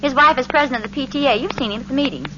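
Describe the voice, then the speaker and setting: An actress playing a practical, gently teasing suburban wife in a 1940s radio comedy. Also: female voice, sits high, racing, natural voice. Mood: matter-of-fact. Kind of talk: 1940s radio sitcom acting. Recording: muffled, very noisy old radio recording.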